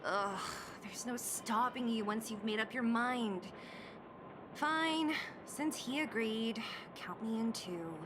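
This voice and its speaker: A woman's voice: a little high, slow pace, background noise. An actress voicing a tough, aloof young woman fighter in a video game, speaking in a dry, sardonic, exasperated way. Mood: resigned. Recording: loud music under the voice.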